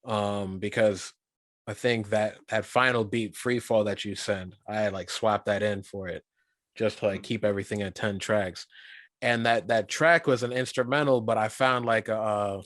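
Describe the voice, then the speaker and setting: A man's voice: lowish voice, fairly bright tone, no echo. A man from Guyana, a rapper co-hosting a podcast, telling stories and asking questions in an easygoing, slangy way. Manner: easygoing, chatty, slangy storytelling. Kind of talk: casual podcast interview.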